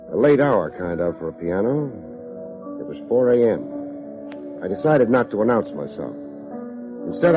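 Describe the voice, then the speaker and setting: An actor playing a tough, wry American private investigator in a 1950s radio drama, terse, slangy and deadpan. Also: male voice, fairly low pitch. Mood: wry narration. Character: tough, wry male private investigator narrating his case. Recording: dull old radio recording, music behind.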